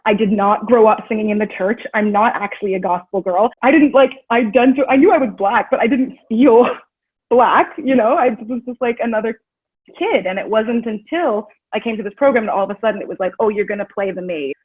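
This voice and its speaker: A woman's voice: a little high, a little fast. A woman, a stage actor, talking openly and chattily in an interview. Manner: open, chatty, lots of "like" and "you know". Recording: husky phone-like lo-fi audio.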